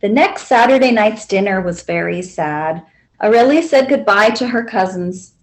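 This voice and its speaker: A woman's voice: medium pitch, animated, normal speed, low-quality recording. A woman reading a children's picture book aloud as a library storyteller.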